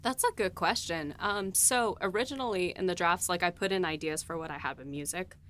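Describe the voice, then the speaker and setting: A woman co-hosting a podcast and talking through her writing process, animated, with easy laughs and lots of fillers. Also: on the low side, brisk. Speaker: podcast co-host and series writer. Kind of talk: casual chat.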